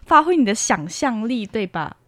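A woman's voice: a little high, very animated, normal speed, a bit bright, no room sound. A young woman interviewing guests as a student radio host, politely explaining things to listeners and reacting with short exclamations. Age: young adult.

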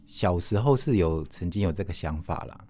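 A man's voice: deep voice, animated, moderate pace, warm tone. A man in his forties with a Taiwanese Mandarin accent, casually explaining and recalling things as an interview guest, in a modest, colloquial way. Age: 40s. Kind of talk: casual conversational recollection.

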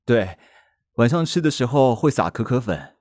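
A man's voice: mid-range voice, sing-song, normal speed, a little mellow, no room sound, studio quality, close to an everyday voice. A man with a Taiwanese Mandarin accent playing a stubborn, prickly, easily flustered middle-aged man in an audio drama. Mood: gentle instructing. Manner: tsundere, scolding, flustered.

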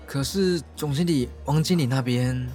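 A man's voice: mid-range voice, normal speed, darkish tone, faint music behind, close to an everyday voice. A man voicing an eager, scheming male office coworker with an ingratiating manner. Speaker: voice actor in an audio drama.

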